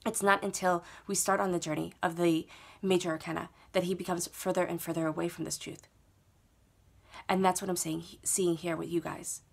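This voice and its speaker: A woman with a stuffy head cold reads tarot cards laid out on a table at home. Her voice sits a bit low, and she talks in a soothing, reassuring way, repeating herself and often checking in with "okay?". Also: little pitch movement, moderate pace, creaky, slightly rough. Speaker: tarot reader. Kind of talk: monthly tarot reading to camera.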